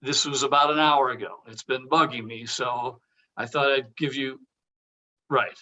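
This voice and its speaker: An actor plays an evasive, jittery man in a table read. His bright, forward voice sits at a middle pitch. He stammers, restarts and trails off awkwardly. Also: fairly even intonation, a little slow, poor audio. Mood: nervous, apologetic.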